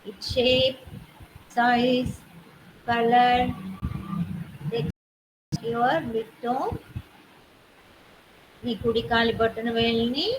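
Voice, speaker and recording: Very slow Telugu-accented woman guiding meditation. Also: a little high, little pitch movement. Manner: measured, with short separated phrases. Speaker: yoga nidra instructor. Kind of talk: guided meditation with slow body-scan instructions. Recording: clearly noisy background.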